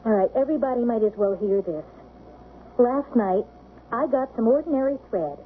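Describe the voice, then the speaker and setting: Sheriff's daughter and amateur sleuth, played by a woman: young American, highish voice, earnest and assured, radio drama on a narrow, noisy broadcast. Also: animated, normal speed, natural voice. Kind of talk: radio drama acting with narration.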